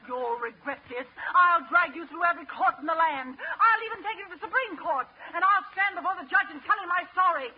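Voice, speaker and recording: A woman's voice: extremely high pitch, animated, brisk, few pauses. An actress playing an easily offended, declamatory lady who dotes and threatens, in 1940s-style radio comedy. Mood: outraged. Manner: indignant, declamatory. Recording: dull, very noisy old radio recording.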